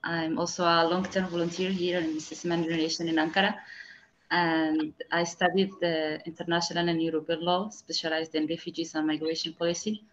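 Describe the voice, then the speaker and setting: Woman from Spain, volunteer, calm fluent intro, low tone, lo-fi. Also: a little fast, a little hiss or hum. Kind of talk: self-introduction on a call. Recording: dull lo-fi call audio.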